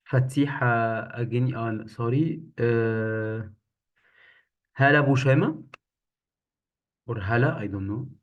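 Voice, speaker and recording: A man's voice: medium pitch, slow, long pauses, narrow, tinny audio. A man moderating an online workshop, briefly and politely calling on participants over a video call.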